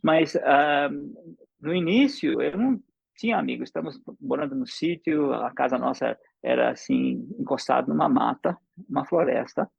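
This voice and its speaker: A man's voice: medium pitch, moderate pace, creaky, breathy edge, no echo. A man in his seventies, a polyglot, chatting casually over a video call and telling stories with playful wordplay. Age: 70s. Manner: storytelling, playful with wordplay jokes. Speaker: polyglot language exchange partner. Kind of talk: casual multilingual conversation. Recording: dull lo-fi sound.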